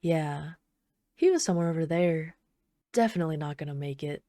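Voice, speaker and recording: A woman playing an obsessive, flirtatious villain in an intimate ASMR roleplay, speaking softly and unhurriedly with teasing, casual menace. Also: sits low, animated, fairly quick, no room sound, clean studio sound. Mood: casual, smug. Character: obsessive yandere villain, flirtatious bomber calmly toying with trapped hero.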